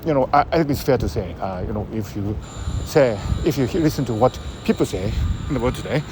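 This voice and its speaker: Man, Japanese accent, halting, street traffic noise. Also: medium pitch, lively intonation. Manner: halting, many uh fillers and pauses. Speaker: host. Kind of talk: casual spoken commentary. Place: outdoors in a city.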